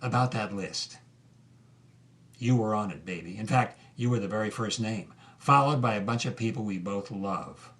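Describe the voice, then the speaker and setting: A man's voice: lowish voice, moderate pace, a bit bright, lightly acted. An actor playing a bitter, defeated man voicing his farewell letter in an audio drama. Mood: weary, resigned.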